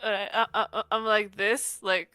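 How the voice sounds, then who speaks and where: A woman's voice: medium pitch, little pitch movement, a little fast, sharp tone, no room sound. A woman playing in a tabletop roleplaying game, talking casually and a little hesitantly.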